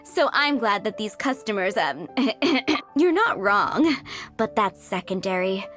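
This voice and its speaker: A woman's voice: mid-range voice, sing-song, takes it slowly, fairly bright tone, faint music behind. A woman playing a friendly, welcoming female tavern keeper in a video game. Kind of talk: video game character acting.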